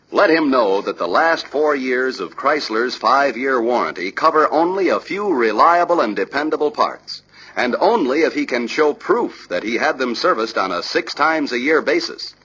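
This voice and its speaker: A man's voice: medium pitch, sharp tone, a little hiss or hum. An American man reading scripted 1960s sales training narration in an emphatic, persuasive, salesman-like manner. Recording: dull, lo-fi 1960s film soundtrack.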